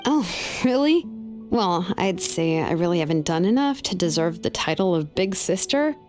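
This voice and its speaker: A woman's voice: lowish voice, big pitch swings, normal speed, a little mellow. A woman playing an easygoing, caring young artisan in a video game, speaking in a casual, laid-back way. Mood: modest. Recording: music behind.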